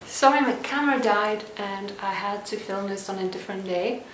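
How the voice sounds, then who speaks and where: A young woman from Lithuania vlogging a casual to-camera Q&A at home, calm and reflective as she reads out each question and answers it. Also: mid-range voice, moderate pace, slight background noise. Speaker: lifestyle vlogger. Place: indoor room at home. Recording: echoey room, voice a bit distant.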